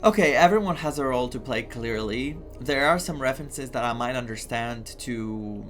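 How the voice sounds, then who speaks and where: Expressive male game streamer from Greece. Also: medium pitch, normal speed. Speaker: gaming streamer. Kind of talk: game playthrough commentary, reading game text aloud. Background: game music.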